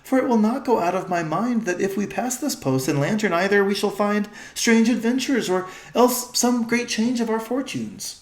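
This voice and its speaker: A man's voice: high voice, normal speed, hardly any pauses, voice pushed up, natural voice. A man voicing an earnest young queen who speaks in formal, old-fashioned words in a storybook reading. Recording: roomy echo.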